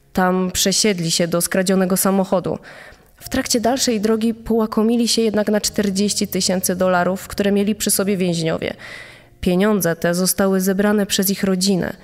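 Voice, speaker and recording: A woman playing a nurse soberly recounting a confession, serious and measured, as dramatised podcast testimony. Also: medium pitch, a little mellow, lightly acted. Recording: long echoing reverb.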